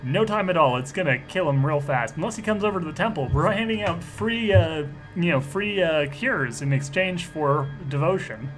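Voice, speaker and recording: A man's voice: on the high side, expressive, moderate pace, few pauses, a bit bright, faint music behind, low-quality recording. A man chatting casually as he improvises in a tabletop roleplaying game, in a rambling, colloquial way.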